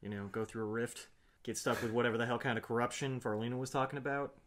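A man's voice: medium pitch, steady tone, fast, a bit bright. Male tabletop player, mid-range voice, wry, laid-back in-character chat. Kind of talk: casual in-character roleplay chat.

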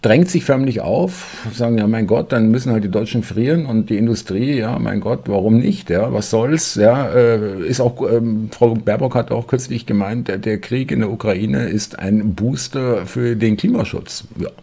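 A man in his fifties hosting casual political commentary from a home desk, halting with many filler sounds and sarcastic asides. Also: on the low side, vocal fry, dark tone, slightly rough.

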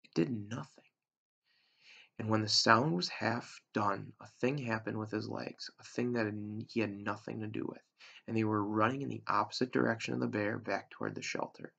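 A man in his thirties with a gravelly, husky voice, reading a storybook aloud like a teacher to a class. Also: on the low side, steady tone, normal speed, a bit bright. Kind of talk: read-aloud storytelling narration. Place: small room indoors at home. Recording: dull, lo-fi webcam sound.

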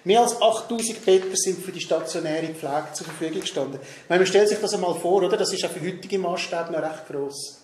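A fairly high-voiced man in his thirties with a Swiss German accent reads a prepared talk from his notes while seated at a table. He often stops on difficult names with ums and restarts. The sound is roomy, with faint noise in the background. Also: brisk, hardly any pauses, a little mellow. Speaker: presenter. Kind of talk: reading a prepared talk at a discussion evening. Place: meeting room in a large hall, seated at a table.

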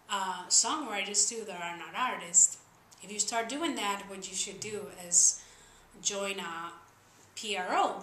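A woman's voice: mid-range voice, sharp tone, roomy sound, a little hiss or hum, poor audio. A young woman from Spain with a Spanish accent, talking casually to camera about songwriting in earnest, slightly uneven English. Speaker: singer-songwriter vlogger. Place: small room indoors.

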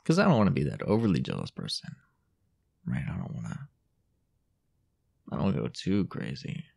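A man performs a teasing, possessive young boyfriend in intimate roleplay audio. His low voice is husky and breathy, swinging widely between murmur and lift. He coaxes coyly, with hesitant pauses and a dominant edge. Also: wildly swooping pitch, a little mellow, exaggerated voice. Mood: hesitant, jealous. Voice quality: husky, gravelly, often breathy or whispered. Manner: hesitant, coy, coaxing murmur with drawn-out pauses.

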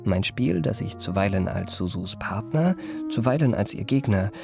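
An actor playing a self-satisfied gentleman impostor who narrates his memoirs in a radio play, in ornate, pompous phrasing. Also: on the low side, expressive, normal speed, creaky, over music. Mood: wistful, smug.

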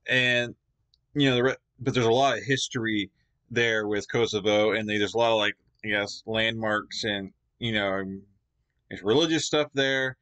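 Low-voiced man from Canada, reaction host, rambling, lots of fillers, casual chat at a desk mic at home. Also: steady tone, normal speed, a bit bright. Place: home gaming room.

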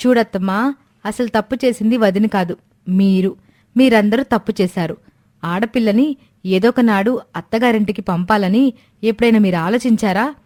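A woman's voice: medium pitch, moderate pace, darkish tone, close to an everyday voice. A woman voicing a dutiful, outspoken young daughter-in-law in a family story, earnest and insistent. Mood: indignant.

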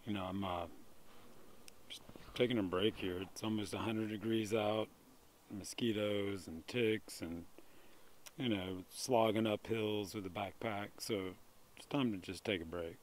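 A man in his thirties talking casually to a handheld camera outdoors in a forest, relaxed and rambling with fillers. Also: on the low side, a little slow, pauses often, a little hiss or hum. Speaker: outdoors vlogger. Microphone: handheld camera at arm's length.

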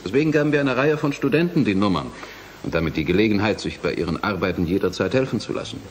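Man acting a guarded scientist, fairly low voice. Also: animated, fast, slight background noise, natural voice. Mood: precise, careful. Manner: formal, precise, defensive. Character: guarded, defensive male research council president, a scientist. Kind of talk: German radio play acting.

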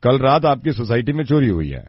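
A radio prankster playing a stern, impatient police officer who interrogates people over the phone in a bossy way. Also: male voice, medium pitch, big pitch swings, fairly quick, slightly rough, no room sound, lo-fi sound. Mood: probing.

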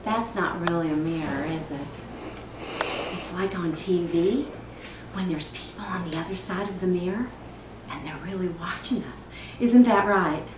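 Slow, halting woman acting a disturbed mother. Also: on the low side, lightly acted. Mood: suspicious, coy. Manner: halting, drifting between murmurs and sudden emphasis. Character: disturbed mother confessing murder, wistful, eerily childlike. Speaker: actor performing a film monologue. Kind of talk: dramatic film monologue in an acting workshop. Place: echoey indoor studio room. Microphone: distant microphone. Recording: echoey room, distant mic, clear noise.

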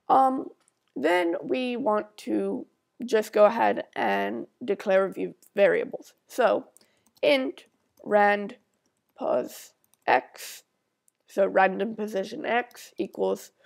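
A woman's voice: mid-range voice, gravelly. A woman with a stuffy nose talking through a coding screencast, reading out code as she types it and thinking aloud. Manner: talks slowly while typing code, thinking aloud. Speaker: tutorial maker.

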